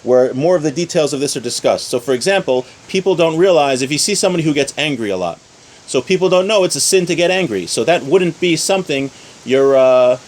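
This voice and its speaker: A man's voice: on the high side, expressive, brisk. A man giving an informal religious lesson as a teacher, explaining step by step and often rephrasing his points. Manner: explanatory, repeats and rephrases points with everyday examples. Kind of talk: informal religious lesson, reading source text then explaining.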